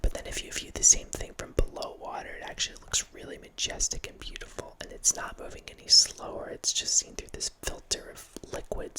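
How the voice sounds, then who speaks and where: A man in his thirties, an ASMR host, whispering in a chatty, rambling way close to a studio mic in a room at home. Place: home room with bookshelves, indoors. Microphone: large studio mic close up, wearing headphones.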